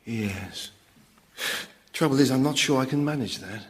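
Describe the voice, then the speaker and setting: A man's voice: medium pitch, unhurried, natural voice. An actor playing a needy, lovesick male demon, hesitant and stammering, in a radio sitcom. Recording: long hall-like reverb.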